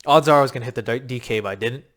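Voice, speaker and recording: A man with a slightly raspy, worn voice, coaching viewers on a crypto game in a rambling, filler-heavy pitch, a little short of breath. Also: very fast, fairly bright tone. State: short of breath from long talking. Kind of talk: casual talking-head explainer.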